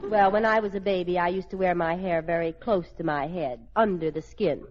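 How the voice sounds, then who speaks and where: An actress playing a spirited, sly young housewife with a teasing, expressive delivery in a radio comedy. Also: female voice, on the low side, fairly quick, a faint crowd, slight background noise, close to an everyday voice. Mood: polite, wry. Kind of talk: 1940s-50s radio comedy acting. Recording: dull old radio recording, studio audience.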